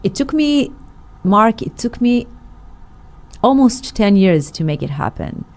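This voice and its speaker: A woman's voice: medium pitch, big pitch swings, a little slow. A middle-aged woman, a singer, telling her story in an interview with many fillers and restarts. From Israel. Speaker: guest, singer. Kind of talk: interview conversation.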